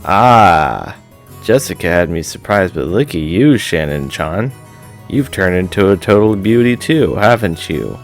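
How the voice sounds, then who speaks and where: Man acting brash lecherous young guy, low-pitched. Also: sing-song, a little slow, soft background music, heavily acted. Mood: admiring, teasing.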